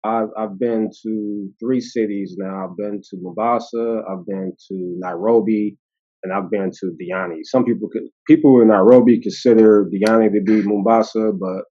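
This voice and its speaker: A man in his fifties with an American accent, telling stories as an interview guest, animated and laughing, with many fillers. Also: fairly low pitch, normal speed. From United States. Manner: animated, laughing, acting out quoted voices, many fillers and restarts. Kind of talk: casual storytelling conversation. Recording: dull lo-fi audio.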